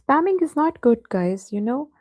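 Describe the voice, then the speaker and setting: A woman's voice: high-pitched, big pitch swings, moderate pace, darkish tone, dry recording, clean studio sound. A woman teaching an online English class, patiently going over answers and addressing students by name.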